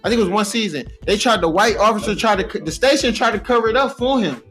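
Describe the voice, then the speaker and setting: An American man co-hosting a podcast, chatting casually with friends in slangy, animated storytelling. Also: sits high, fast talker, soft background music. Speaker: podcast co-host. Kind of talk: casual chat among friends.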